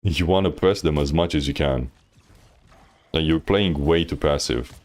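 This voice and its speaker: A man's voice: a bass voice, moderate pace, darkish tone. A man coaching on a live stream, reviewing gameplay bluntly with lots of fillers. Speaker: game coach.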